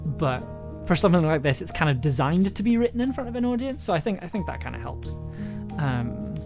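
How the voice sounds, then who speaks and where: Female live streamer, lowish voice, informal and halting, working through writing out loud over music. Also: very animated. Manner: halting, thinking aloud with self-repetition. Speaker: audio drama writer and live streamer. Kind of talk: casual livestream chat while writing aloud.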